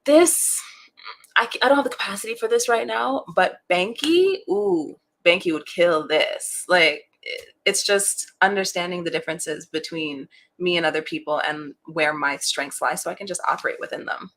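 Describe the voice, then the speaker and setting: Low gravelly woman, super chill relaxing voice, animated storyteller, laughs a lot. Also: normal speed. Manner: warm, animated storyteller who laughs often. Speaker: interview guest, voice actor and creative director. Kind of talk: casual interview chat.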